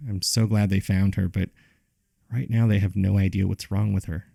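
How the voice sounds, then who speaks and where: A man with a gravelly, husky voice reading scripted horror case files in a measured, subdued way, as narrator and host. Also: sits low, little pitch movement, warm tone, no room sound, studio quality.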